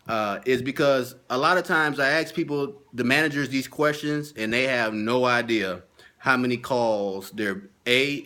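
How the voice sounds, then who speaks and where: A man with a medium-pitched voice gives an informal training talk in the role of a sales consultant. He speaks emphatically and comes back to his key points, repeating them to drive them home. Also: a bit bright.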